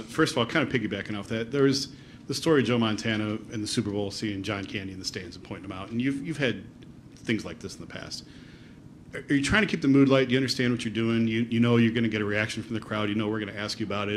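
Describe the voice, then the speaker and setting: A man's voice: on the low side, slight background noise. A man, a sports reporter asking long questions at a press conference, restarting his phrases as he goes.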